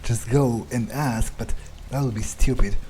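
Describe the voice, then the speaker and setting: A man's voice: mid-range voice, steady tone, a little slow, warm tone, slight background noise, lightly acted. A man playing a cynical, pragmatic vampire in a video game, thinking aloud in a musing, hesitant, casually profane way. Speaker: player doing an in-character playthrough. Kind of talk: improvised in-character thinking aloud over gameplay.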